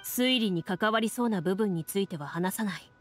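A woman playing a composed, serious young duelist who tells lore in a measured, matter-of-fact way for a video game. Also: medium pitch, faint music behind, close to an everyday voice. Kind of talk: scripted game dialogue and storytelling.

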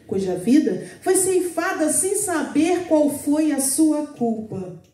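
Slow, declaiming woman reciting in a hall. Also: mid-range voice. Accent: Brazilian Portuguese. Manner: slow, declamatory, with sharp rises on key words. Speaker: poet. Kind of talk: dramatic poetry recitation. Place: small auditorium stage, indoors. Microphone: stand mic, recorded by a far camera. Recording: echoey auditorium, far from the mic.

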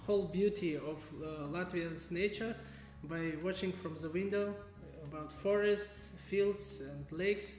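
A young man from Uzbekistan speaking slowly and hesitantly about his first impressions. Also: high voice, a little mellow. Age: young adult. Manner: slow, deliberate, hesitant. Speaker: international student. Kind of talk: casual interview. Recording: echoey room with music underneath.

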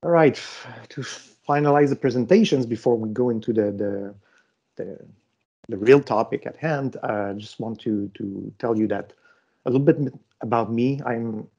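Gravelly man presenting online. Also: mid-range voice, dark tone. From Canada. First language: French. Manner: halting, many fillers and restarts. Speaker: conference presenter. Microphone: video call microphone. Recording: dull lo-fi call audio.

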